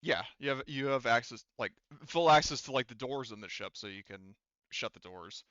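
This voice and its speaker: A man's voice: mid-range voice, animated, moderate pace, a bit bright, no room sound, dull audio. A man running a tabletop roleplaying game as game master, explaining casually in a rambling, self-correcting way.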